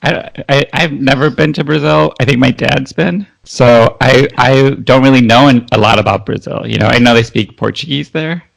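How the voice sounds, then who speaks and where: A man's voice: medium pitch, moderate pace, creaky, few pauses, poor audio. A man hosting a podcast, asking questions in a friendly, encouraging, conversational way. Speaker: podcast host. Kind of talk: casual interview hosting.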